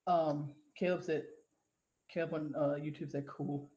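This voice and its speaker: A woman's voice: sits low, steady tone, a little slow, dark tone, echoey room. A woman co-hosting a casual live show, reading out viewer comments in a rambling, profane way and laughing easily. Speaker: co-host.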